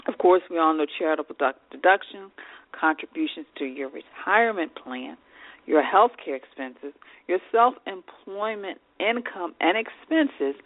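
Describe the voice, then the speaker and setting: Woman, nasal and congested, podcast host reading tips, fry in voice, dry close sound. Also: sits low, no echo. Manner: reads lists of tips with fillers. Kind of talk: advice podcast talk. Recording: tinny phone-like line.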